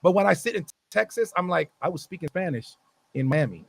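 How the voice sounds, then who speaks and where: A young man co-hosting a casual chat, joking and teasing with loud, animated asides. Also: high-pitched, fairly quick, vocal fry, slightly rough. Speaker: co-host.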